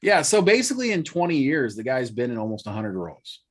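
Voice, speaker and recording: Co-host, man, forties, mid-pitched, rambling casual musings. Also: sing-song, fast talker. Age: 40s. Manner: musing, thinking aloud. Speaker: podcast co-host. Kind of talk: casual chat.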